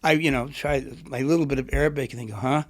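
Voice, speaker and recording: A man's voice: medium pitch, fairly even intonation, fast talker. A middle-aged man, an author and poet, being interviewed and thinking aloud with a reflective, rambling manner. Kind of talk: conversational interview.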